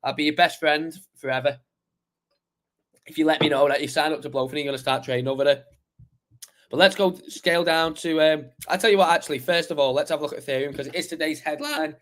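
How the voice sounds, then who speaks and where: A man hosting a crypto livestream with a slightly croaky voice, talking through charts in a chatty, rambling way with many fillers and restarts. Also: a little high, fast talker, fairly bright tone. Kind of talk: casual live chart analysis.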